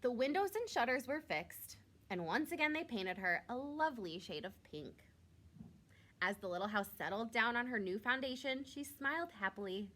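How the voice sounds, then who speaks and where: Animated, high-voiced woman reading a storybook. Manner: expressive, with drawn-out emphasis and questions to listeners. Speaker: teacher reading a picture book aloud. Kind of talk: storybook read-aloud for young children.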